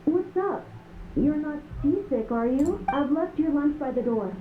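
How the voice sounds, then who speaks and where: A woman voicing a caring, worried young shipmate in a video game, speaking softly and solicitously. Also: high voice, lively intonation, unhurried, reverberant, narrow, tinny audio, noisy background. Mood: concerned, coaxing. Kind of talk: video game story voice acting.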